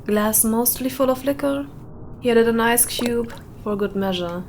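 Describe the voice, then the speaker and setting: A woman narrating a short story in a deliberate way with dramatic pauses. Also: on the high side. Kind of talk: scripted narration, atmospheric storytelling. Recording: windy howling ambience behind voice. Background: added wind or howling ambience.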